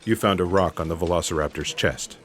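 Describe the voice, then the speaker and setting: Low-voiced, deadpan male agent played by an actor. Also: a little fast. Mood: earnest. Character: earnest, courteous, awkwardly frank male special agent. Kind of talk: comedic sci-fi audio theatre acting.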